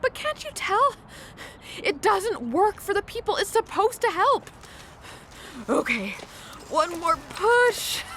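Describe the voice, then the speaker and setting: A young tour guide who uses a wheelchair and has had enough. Her high voice swings freely in pitch, with sarcastic, blunt delivery. It is a conversational radio drama with a little background noise. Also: very animated, a little slow, pauses often, fairly bright tone, heavily acted. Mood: strained, frustrated. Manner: sarcastic, outspoken. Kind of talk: audio drama acting, conversational.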